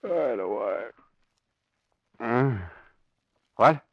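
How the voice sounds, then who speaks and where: An actor playing a frightened, easily cowed bank employee in an old radio drama, nervous, halting and pleading. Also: male voice, medium pitch, expressive, creaky, husky, no room sound, muffled recording, exaggerated voice. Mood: groggy, bewildered. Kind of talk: 1940s radio acting.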